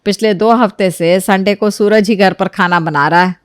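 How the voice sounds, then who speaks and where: A woman acts out an older mother-in-law in a storybook drama. She is motherly and credulous, with a medium-pitched voice and a dry, close recording. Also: fairly even intonation, normal speed, clear, resonant tone, no echo, close to an everyday voice. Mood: indignant. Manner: motherly, easily swayed. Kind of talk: dramatized Hindi moral story acting.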